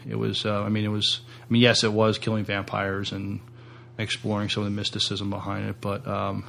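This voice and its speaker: A middle-aged man co-hosting a podcast in casual, halting discussion, often repeating words. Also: on the low side, completely flat delivery, creaky, a bit bright, slight background noise. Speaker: podcast co-host.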